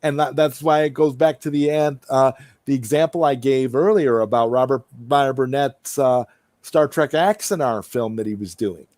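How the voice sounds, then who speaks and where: A man's voice: medium pitch, normal speed, a little mellow, no room sound, clean studio sound. A man hosting a livestream, chatting casually and answering viewer comments, often repeating and rephrasing himself as he thinks aloud. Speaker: livestream host.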